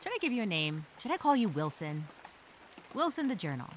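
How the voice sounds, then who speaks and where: A woman playing a scared but determined castaway, speaking her survival journal as a halting, expressive dramatic monologue. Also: mid-range voice, slight background noise, lightly acted. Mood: focused, weary.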